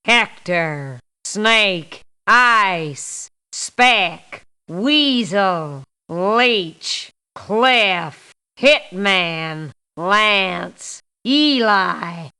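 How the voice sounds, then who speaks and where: A woman's voice: mid-range voice, sharp tone, clear, resonant tone, exaggerated voice. A woman voicing a video game character, delivering clipped callouts one word at a time. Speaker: voice actor.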